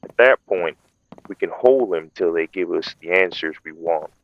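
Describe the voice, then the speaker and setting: A man acts a tactical vampire hunter in an urban fantasy audio drama. The voice is very deep and slightly dull on the recording. He speaks in a measured, firm way, like a dutiful officer laying out orders. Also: a little hiss or hum. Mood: scheming, businesslike.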